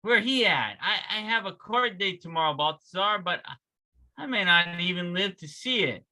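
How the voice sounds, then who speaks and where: A man's voice: high-pitched, expressive, normal speed, sharp tone, heavily acted. A man in a play table read over a video call, playing an anguished young jailed lover with urban street talk. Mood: worried, brooding.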